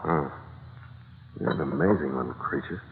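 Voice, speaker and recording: An actor playing a tough, laconic sea skipper in an old-time radio noir drama, terse, dry and world-weary. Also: male voice, very deep voice, moderate pace, a little hiss or hum. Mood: wry, intrigued. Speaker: lead character and first-person narrator. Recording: narrow old radio recording, music behind.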